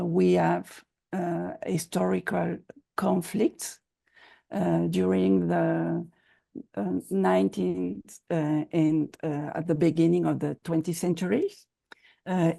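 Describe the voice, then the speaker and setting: Gravelly, slow-talking woman lecturer. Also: fairly low pitch, dark tone. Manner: hesitant, with many filler sounds. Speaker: guest lecturer. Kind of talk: academic presentation. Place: conference session.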